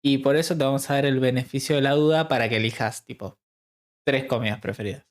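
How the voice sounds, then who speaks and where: A man with a Rioplatense Argentine accent hosts a relaxed interview stream. He speaks informally and at length, with a self-deprecating streak, in a mid-pitched voice. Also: fast. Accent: Rioplatense Argentine Spanish. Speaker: stream host interviewing a guest. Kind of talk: casual interview chat.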